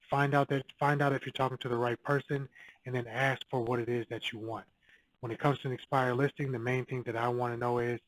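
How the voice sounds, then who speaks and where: A man's voice: medium pitch, a little hiss or hum, low-quality recording. Man giving plain real estate advice. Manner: direct, coaching, repeats key points. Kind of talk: casual advice video to camera.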